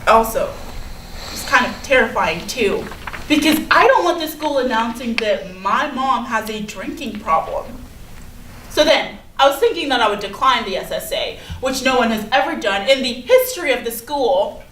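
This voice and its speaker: Anxious, apologetic schoolgirl played by a woman, very high animated voice, staged reading in a roomy space. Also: normal speed. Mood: anxious, then relieved. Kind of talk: staged play reading, teen dialogue. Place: indoor room at a staged reading. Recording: roomy, distant mic, faint noise.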